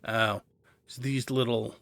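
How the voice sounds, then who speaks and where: Male gameplay guide host, mid-pitched and bright, casual, explanatory, thinks aloud. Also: steady tone, slow, gravelly. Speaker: video game tutorial host. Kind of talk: casual gameplay walkthrough commentary.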